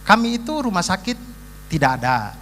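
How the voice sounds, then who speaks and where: A man's voice: high voice, big pitch swings, a little fast, bright, forceful tone. A man with an eastern Indonesian accent performing stand-up comedy, repeating phrases for emphasis. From East Nusa Tenggara, eastern Indonesia. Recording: reverberant hall, faint noise.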